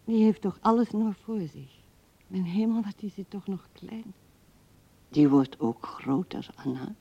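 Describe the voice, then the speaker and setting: A woman's voice: mid-range voice, a little slow, vocal fry, mellow, breathy edge. An actress playing an old widowed woman who muses tenderly and drifts as she talks to herself in a radio play, on a dull older recording. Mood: tender musing. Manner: musing, drifting, talking to herself and the absent.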